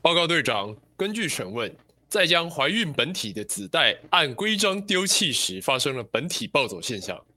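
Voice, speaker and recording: A man's voice: on the high side, very animated, moderate pace, pushed and bright, heavily acted. A man playing a dutiful squad subordinate in an amateur radio drama, giving formal reports to a superior.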